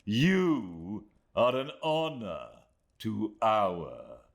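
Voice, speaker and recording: A man voicing a cruel, proud woman who speaks with slow, sneering contempt. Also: on the low side, wildly swooping pitch, vocal fry, voice pushed up, exaggerated voice. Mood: scornful.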